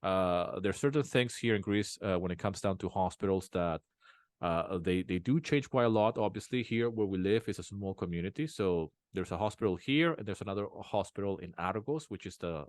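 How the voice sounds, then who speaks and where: A man's voice: low voice, fairly quick, darkish tone, no echo. A man as an interview guest, talking casually at home with many restarts and fillers. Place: home in a small town.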